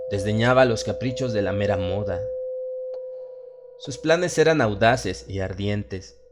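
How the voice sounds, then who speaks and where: A man with a Latin American Spanish accent narrates a horror audiobook in a solemn, measured, dramatic way. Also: lowish voice. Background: music.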